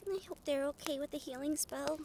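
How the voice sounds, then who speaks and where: A woman playing a cheerful, caring young female harpy in an audio roleplay, gushing, excitable and soothing. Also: high-pitched, brisk, gravelly, a little hiss or hum. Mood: doting.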